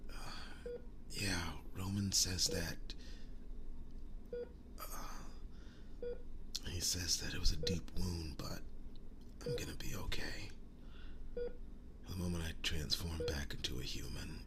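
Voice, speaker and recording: Man acting a deep, whispery, slow-talking werewolf boyfriend. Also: fairly even intonation. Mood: pained, reassuring. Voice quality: breathy, whispery low voice. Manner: halting, earnest, soft-spoken with long pauses. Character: wounded young male werewolf boyfriend, tender and devoted. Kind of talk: ASMR boyfriend roleplay, intimate acting.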